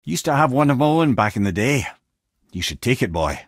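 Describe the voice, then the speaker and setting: A wry old Scottish sage, a talking severed head from a fantasy video game. His voice is husky and rough-edged, swinging widely in pitch as he spins lore with eloquent, theatrical relish. The sound is close and dry. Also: sing-song, fairly quick, no echo. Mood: storytelling. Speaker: companion and guide. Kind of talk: video game character dialogue and lore storytelling.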